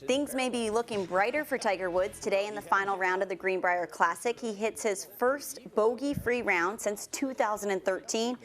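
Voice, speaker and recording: A woman's voice: medium pitch, moderate pace, few pauses. A woman co-anchoring a television sports segment, reading scripted news with some light banter.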